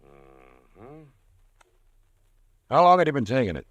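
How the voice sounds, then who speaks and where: A man's voice: medium pitch, very animated, slow, highs cut off. A man playing a polite, matter-of-fact homicide inspector in a 1940s radio drama. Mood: businesslike. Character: calm male homicide detective, polite and probing. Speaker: police inspector.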